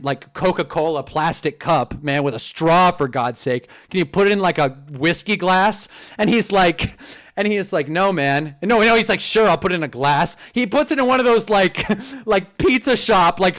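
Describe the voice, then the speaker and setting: A man in his twenties with a Californian accent, hosting a live stream and telling stories casually. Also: a little high. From Southern California. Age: 20s. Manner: animated, swearing, storytelling. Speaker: streamer host.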